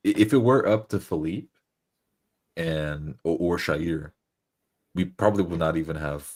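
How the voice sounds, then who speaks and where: A man's voice: sits low, unhurried, a little mellow. A man chatting casually as a roleplay player, often repeating words as he gets his sentences going. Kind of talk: casual discussion.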